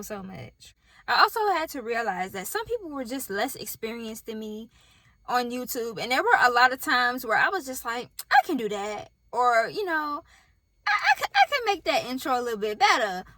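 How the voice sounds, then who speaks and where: A woman with a Southern US accent chats candidly to camera, talking straight to her viewers. Her voice sits a little high and rings bright and clear. She is filming on a phone in a parked car, and the sound is close and dry. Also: sing-song, moderate pace, dry recording. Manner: candid, chatty, talks to viewers directly. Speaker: vlogger. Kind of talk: casual talk to camera. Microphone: phone on tripod.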